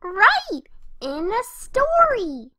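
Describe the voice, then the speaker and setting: A hyperactive young female cartoon pony, extremely high and very animated. She chatters cheerfully and breezily to the listener, scatterbrained and party-loving, in a dry, close recording. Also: extremely slow, no echo, full character voice. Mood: chirpy, breezy. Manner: bubbly, chatty, breezy narrating straight to the listener. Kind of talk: fan fiction audio reading in cartoon character.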